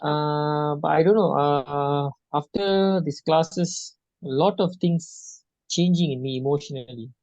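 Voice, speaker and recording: A hesitant man from Malaysia speaks over a video call with dull, lo-fi sound and a dry, close feel. His voice is gravelly and sits in a middle range. He stops and restarts often, searching for words. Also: fairly high pitch, slow, hardly any pauses, a little mellow, no echo. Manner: hesitant, many restarts and fillers. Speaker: session participant. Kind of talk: halting personal sharing.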